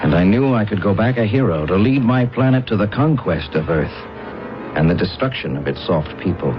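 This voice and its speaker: An actor playing a proud, scheming alien space pilot in a radio drama, speaking in a guarded, formal, measured way. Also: male voice, lowish voice, lively intonation, lightly acted. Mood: brooding, proud. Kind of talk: old radio drama acting, narration and dialogue. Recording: muffled radio drama recording with loud music.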